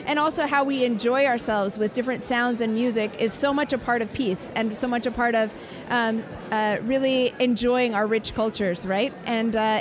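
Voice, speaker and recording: A woman hosting conference radio, giving enthusiastic, thankful closing remarks. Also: a little high. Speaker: radio host. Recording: conference radio with music behind. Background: music.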